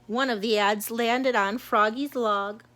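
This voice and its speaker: A woman's voice: a little high, fairly even intonation, unhurried, pushed and bright, a little hiss or hum. A woman narrating a children's storybook as a read-aloud. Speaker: storybook reader.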